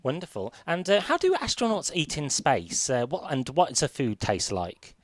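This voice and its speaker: A man's voice: a little high, huge pitch swings, no echo. Male interviewer with swooping intonation. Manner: hesitant, halting phrasing. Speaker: radio presenter, interviewer. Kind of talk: interview questions.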